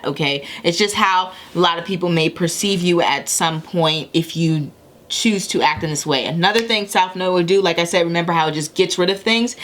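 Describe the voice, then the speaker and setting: A woman with a fairly low voice reads tarot and astrology for her audience. She rambles in a casual way, restarts her sentences and keeps checking in with "okay?". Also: fairly bright tone. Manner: chatty, rambling, frequent self-corrections and "okay?" check-ins. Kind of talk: casual recorded tarot reading.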